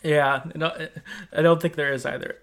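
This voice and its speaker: Higher-voiced man chatting as podcast host. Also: fairly even intonation, normal speed, a bit bright. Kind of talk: casual game discussion and plot recap.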